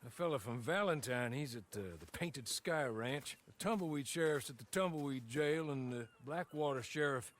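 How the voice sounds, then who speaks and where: Man playing a laconic, mid-pitched frontier man. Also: expressive, moderate pace, few pauses, fairly bright tone, lightly acted. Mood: dry, matter-of-fact. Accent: American. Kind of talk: video game cutscene acting.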